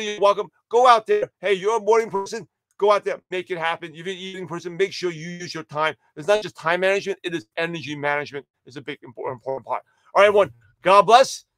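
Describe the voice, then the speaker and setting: Upbeat man in his 40s, high-pitched, slightly raspy, live pep-talk host, dry close sound. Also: a bit bright, dry recording. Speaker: livestream host and network marketing coach. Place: home studio office with shelves.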